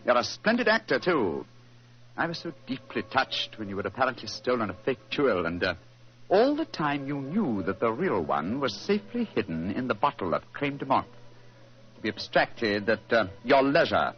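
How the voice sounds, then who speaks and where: A man's voice: medium pitch, sing-song, moderate pace, natural voice. A man acting a brilliant, urbane British detective in a 1940s radio drama, speaking with precise, teasing superiority. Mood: amused, accusing. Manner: theatrical, precise, suavely superior. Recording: dull 1940s radio recording.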